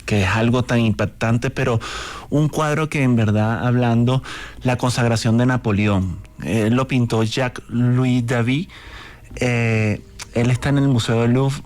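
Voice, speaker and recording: A man from Venezuela, a painter invited onto a radio show, speaking earnestly and haltingly with repeated words. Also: medium pitch, fairly even intonation, darkish tone. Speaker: guest painter. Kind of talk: radio interview.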